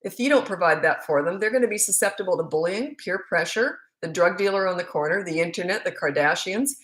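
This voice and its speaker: A woman's voice: fairly low pitch, expressive, quick pace, a bit bright. Canadian lady parenting coach chatting live, frank and kinda rambly. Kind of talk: live Q&A answering viewer comments.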